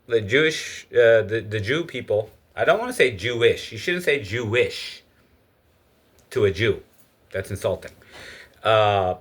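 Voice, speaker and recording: A man's voice: medium pitch, fairly bright tone. A man from Egypt giving a casual, opinionated spoken monologue. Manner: opinionated, lecturing. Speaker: speaker giving his views.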